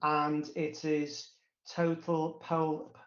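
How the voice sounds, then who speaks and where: Guy with a stutter talking slowly, lots of ums and restarts, echoey dull audio. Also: a little high, completely flat delivery. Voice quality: stutter with blocks, repetitions and drawn-out pauses. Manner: halting, frequent fillers. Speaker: interview guest. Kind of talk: casual personal recollection. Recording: roomy, echoey, dull sound.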